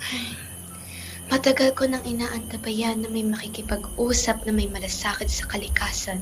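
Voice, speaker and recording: A woman's voice: on the high side, level tone, moderate pace, slight background noise, close to an everyday voice. A woman playing a solemn, protective lake fairy in an amateur radio drama, with a Filipino accent and formal manner. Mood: grave, hopeful.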